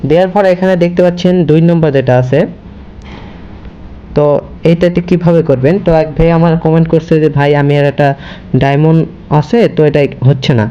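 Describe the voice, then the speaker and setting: A man's voice: on the high side, fairly even intonation, brisk, pauses often, dark tone, dull audio, a little hiss or hum. A man hosting a casual step-by-step screen tutorial, linking his sentences repetitively with the same connective word. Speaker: tutorial host.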